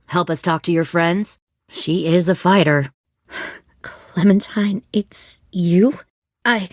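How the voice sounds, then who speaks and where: A woman acting a hardened, menacing leader of raiders in a zombie video game, speaking in a cold, coercive, deliberate way. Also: low voice, darkish tone, no echo, studio quality. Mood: coolly persuasive.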